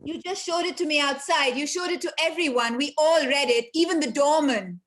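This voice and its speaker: A high-voiced prim office assistant in a stage farce. The delivery is formal and dutiful, deferential to the boss and firm with visitors. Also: female voice, brisk, a bit bright. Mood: firm, exasperated. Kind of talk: comic stage-play acting.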